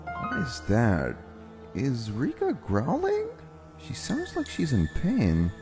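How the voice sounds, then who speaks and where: Man acting anxious young anime-dub guy, swooping pitch. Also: huge pitch swings, slow pace. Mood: curious, concerned. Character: anxious, considerate young man narrating his romance. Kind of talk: anime-style dub acting and first-person narration. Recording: music bed behind the voice.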